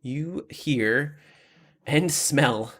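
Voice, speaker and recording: A man improvising tabletop game narration as game master, informal and halting in delivery. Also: mid-range voice, a bit bright.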